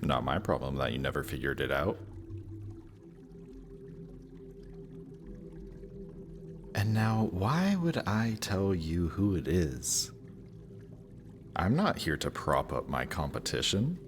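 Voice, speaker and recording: A man's voice: deep voice, very animated, unhurried, noisy background. A man playing a death god's smug, lovestruck young son in a romantic audio roleplay, talking casually and teasingly to the listener.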